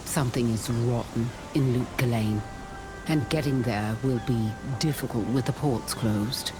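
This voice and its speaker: A woman's voice: a bass voice, measured pace, over music. A woman voicing a resolute, grave and protective adventurer in a video game. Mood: grave, regretful.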